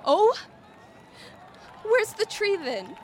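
A woman's voice: very high-pitched, big pitch swings, extremely slow, a little hiss or hum, full character voice. A woman voicing Marion, an old female forest critter who is indignant and distressed. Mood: heartbroken.

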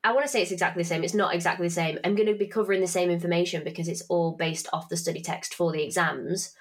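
A woman's voice: fairly low pitch, steady tone, fast. A young woman in her twenties, a podcast host, talking in a chatty, swearing, self-mocking way while congested from hay fever. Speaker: podcast host and finance tutor. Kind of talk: casual podcast chat with promotion.

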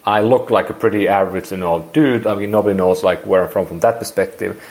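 A man's voice: sits low, quick pace, a little mellow. A man in his forties from Finland with a Finnish accent, speaking English as a second language and rambling through an interview with many restarts. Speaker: guest. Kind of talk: casual interview.

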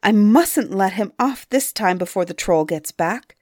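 A woman's voice: mid-range voice, animated, unhurried, a little mellow, no room sound, studio quality, lightly acted. A woman voicing a sly, fretful old female troll in a storybook, muttering anxiously to herself.

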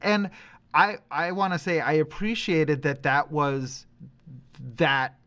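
A man's voice: a little high, slow, sharp tone, clean tone. A man co-hosting a podcast, giving matter-of-fact opinions in a casual discussion of film. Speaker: podcast co-host.

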